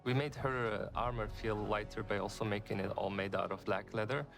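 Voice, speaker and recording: A man's voice: on the low side, steady tone, fairly quick, gravelly, a bit bright, soft background music. A man explaining visual design as a game artist in a developer interview. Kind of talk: developer interview in a promo video.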